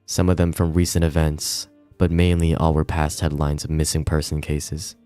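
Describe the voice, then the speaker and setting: A man's voice: rumbling low, monotone, moderate pace, mellow. A man reading a visual novel aloud on a casual stream, stumbling now and then and tossing in giggly asides. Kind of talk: casual livestream read-along with reactions. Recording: roomy echo, music behind. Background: music.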